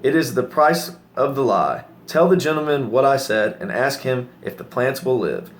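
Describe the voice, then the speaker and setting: A man's voice: mid-range voice, animated, normal speed, lightly acted. A man voicing an honest, stern and dignified old grandfather in a children's story read-aloud. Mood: sad, resolute.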